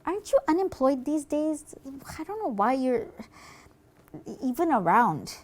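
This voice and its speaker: A woman with a high, expressive voice performs dialogue for a puppet show. She talks informally and unhurriedly, protesting as if arguing with a companion. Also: a little slow.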